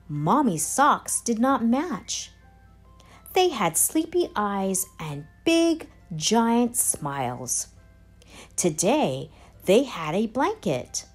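Woman, children's read-aloud narration, slow and deliberate, expressive, dramatic pauses, soft music behind. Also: medium pitch. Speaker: storybook reader, channel host. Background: background music.

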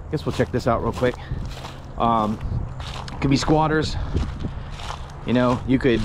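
Man, thirties, handheld ghost-hunting vlogger, nervy, noisy ambience. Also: medium pitch. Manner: jumpy, excitable, swears often. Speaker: paranormal vlogger. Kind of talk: handheld exploration vlog. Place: abandoned mansion and overgrown grounds, in and outdoors. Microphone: handheld selfie camera.

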